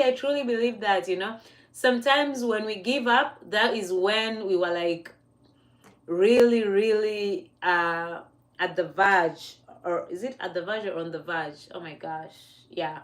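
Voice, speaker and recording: A Kenyan woman hosting a relaxed livestream, speaking to her viewers in a chatty, encouraging way. She laughs often and stops to fix her wording as she goes. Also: mid-range voice. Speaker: livestream host. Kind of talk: casual motivational livestream.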